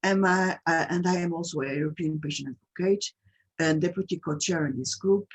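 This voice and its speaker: Brief: Portuguese-accented woman presenting a webinar over a computer mic, husky, hesitant, lots of restarts. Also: fairly low pitch. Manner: halting, many fillers and restarts. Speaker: patient advocate hosting a webinar.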